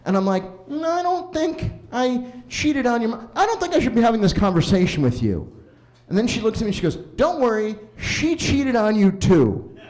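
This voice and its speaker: A man in his late thirties doing a stand-up set at an open mic in a basement, telling stories animatedly and jumping into high voices for quoted lines. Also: big pitch swings, normal speed, a little mellow. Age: 30s. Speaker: stand-up comedian. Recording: roomy basement echo.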